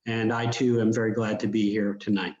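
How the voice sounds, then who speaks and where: A man's voice: lowish voice, level tone, fairly quick, darkish tone. A man, a pastor, giving a formal self-introduction. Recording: echoey room, dull lo-fi audio.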